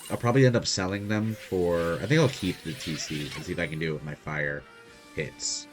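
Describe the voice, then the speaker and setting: A soft-spoken young man casually commenting on his gameplay as host. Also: low voice, a little fast, soft background music. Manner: quiet, soft-spoken, laid-back. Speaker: gaming channel host.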